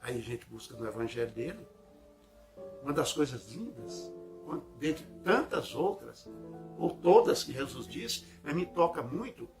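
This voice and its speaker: A man's voice: mid-range voice, lively intonation, a little slow, soft background music. A man with a Brazilian accent giving a religious public lecture in a conversational, reflective way, repeating phrases and checking in with listeners. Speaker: speaker at a Spiritist center.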